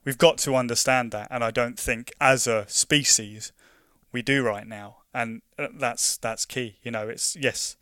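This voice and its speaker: A man's voice: mid-range voice, fairly even intonation, a little slow, hardly any pauses, sharp tone, no echo. Chatty London man, dry close sound. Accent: London English. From London, England. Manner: chatty, frequent restarts and "you know" fillers. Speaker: conservation project worker. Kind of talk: casual conversational interview.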